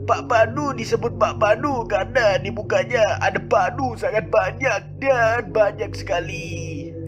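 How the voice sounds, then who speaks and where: A man's voice: sits high, unhurried, lo-fi sound, over music. A man voicing a wise village elder who explains and tells a legend in a scripted cartoon. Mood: solemn storytelling. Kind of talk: scripted cartoon narration and dialogue.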